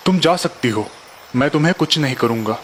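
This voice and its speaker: A man voicing a poor, kindly fisherman husband in a children's cartoon, gentle and earnest. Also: mid-range voice, lively intonation, a little fast, slight background noise, lightly acted. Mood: amazed. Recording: reverberant echo under background music.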